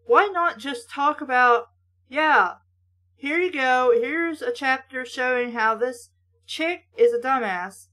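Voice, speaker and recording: A woman's voice: high-pitched, takes it slowly, bright, forceful tone. A woman reading aloud and breaking off for blunt, exasperated, sweary, sarcastic commentary. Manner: casual, sweary. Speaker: host of a book-mocking reading show.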